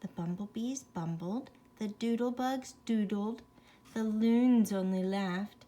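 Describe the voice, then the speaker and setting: A woman's voice: medium pitch, slow pace, dark tone, a little hiss or hum. A woman reading a rhyming children's bedtime story expressively, with chatty asides to young listeners.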